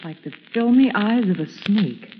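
Woman as a lively, swooping-voiced young sleuth. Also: medium pitch, a little slow, lightly acted. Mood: spooked. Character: spirited, observant young society woman, amateur sleuth. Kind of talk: old-time radio drama acting. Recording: muffled old radio recording, hissy, reverberant.

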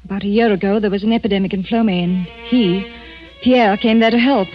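An actress playing a frightened wife of a killer in 1940s radio drama, pleading breathlessly and dramatically. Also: female voice, medium pitch, fairly even intonation, a little fast, close to an everyday voice. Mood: anxious, confiding. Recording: muffled 1940s radio broadcast, music behind.

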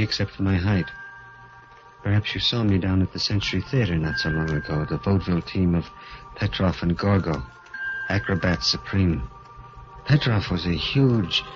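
A man's voice: sits low, natural voice. A man playing Gorgo, a timid, stammering dwarf. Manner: halting, stammering, pleading in dialogue. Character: timid, bitter adult male dwarf performer. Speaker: narrator and lead character. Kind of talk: 1940s radio drama acting. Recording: dull old radio recording with music.

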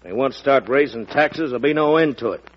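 An actor playing a plainspoken rural auctioneer plotting against a tax, in a 1940s radio drama. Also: male voice, medium pitch, expressive, brisk, a little hiss or hum, lightly acted. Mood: scheming. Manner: plainspoken, folksy grammar. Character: blunt rural male auctioneer stirring up a tax revolt. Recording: dull old radio recording.

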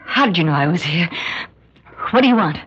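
A woman's voice: fairly low pitch, expressive, brisk, heavy background noise, lightly acted. An actress in an old radio drama playing a frightened, stammering, cornered woman who pleads breathlessly. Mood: terrified, pleading. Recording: muffled old radio recording.

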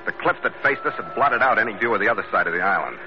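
An actor playing a tough, principled American sea captain who also narrates a radio adventure, in a terse hard-boiled style. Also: male voice, lowish voice, animated, very fast, lightly acted. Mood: grim narration. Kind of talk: old-time radio adventure drama acting and narration. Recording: noisy, muffled old radio recording.

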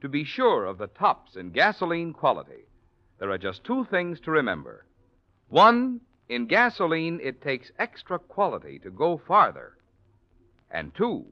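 A man's voice: mid-range voice, wildly swooping pitch, unhurried. An American man announcing a sponsor message in 1940s radio style. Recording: dull vintage radio recording.

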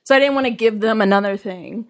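A woman's voice: on the high side, quick pace. A woman from New York in a casual chat, speaking earnestly and with care. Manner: earnest, thoughtful, careful speech.

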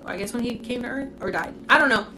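A woman's voice: a little high, quick pace, noisy background. A young woman from Puerto Rico, raised in Florida, hosting a casual livestream and answering viewers' comments. She talks bluntly and candidly, swears freely, and fills her talk with "like," speaking into a phone. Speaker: livestream host.

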